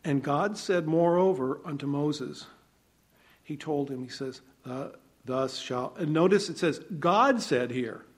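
Man preaching with loud and quiet swings. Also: on the high side, a little slow. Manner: conversational, reads scripture aloud, swings between loud emphasis and quiet asides. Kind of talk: sermon, Bible teaching.

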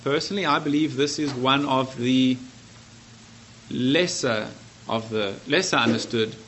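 A man with a South African accent, preaching in an earnest, conversational way and often correcting himself. His voice sits at an ordinary pitch. A faint hiss of room noise runs underneath. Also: a little slow, fairly bright tone. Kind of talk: sermon.

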